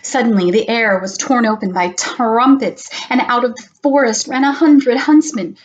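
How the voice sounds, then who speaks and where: A woman's voice: a little high, normal speed, dull audio. Woman reading a children's storybook. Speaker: storybook session reader. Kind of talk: read-aloud children's storytelling.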